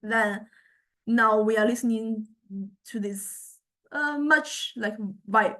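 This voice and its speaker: A woman lecturer explaining a software demonstration in a halting way, often repeating words as she searches for the next one. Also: fairly high pitch.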